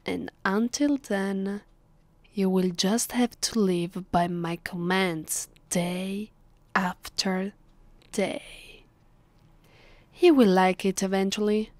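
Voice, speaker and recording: A woman with an Italian accent voicing a haughty, possessive vampire mistress in a close, hushed ASMR roleplay, condescending and commanding. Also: medium pitch, fairly even intonation, takes it slowly, no room sound, natural voice. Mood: haughty, promising. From Italy. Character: haughty, possessive female vampire mistress addressing her captive. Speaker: ASMR voice actress. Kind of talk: ASMR roleplay, slow, close, often whispered. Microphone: close microphone, dry.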